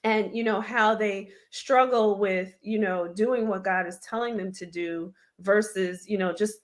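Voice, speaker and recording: A woman hosting an interview show with a slightly hoarse voice, responding to her guest with encouraging affirmations. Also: mid-range voice, measured pace. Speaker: host. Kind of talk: casual interview chat.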